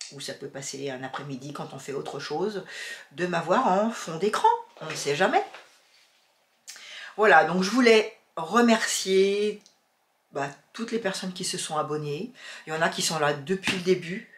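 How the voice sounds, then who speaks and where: A woman's voice: low-pitched, reverberant. A woman with a cold chatting casually to her viewers about sewing and knitting, hesitant and full of fillers. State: has a cold, sniffling. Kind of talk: casual chat to camera.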